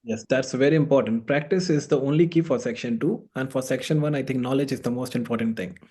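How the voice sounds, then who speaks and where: A man's voice: medium pitch, fast talker, warm tone, slightly rough. A man hosting a conversational interview as a course mentor, giving exam advice and repeating his key points with emphasis.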